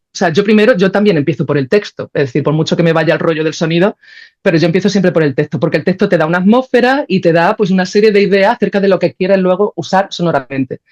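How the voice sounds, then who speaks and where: A woman with a Castilian accent talks quickly in a casual, animated way. She explains her ideas colloquially, checking that the listener follows. The recording sounds slightly muffled. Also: on the low side. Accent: Spain. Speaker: podcast guest, sound artist.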